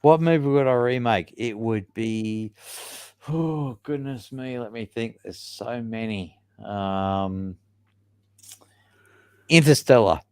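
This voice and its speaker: A man's voice: mid-range voice, takes it slowly, smooth, no echo, clean studio sound. An older man as a guest on a casual live-stream chat, easygoing and agreeable, calling the host mate.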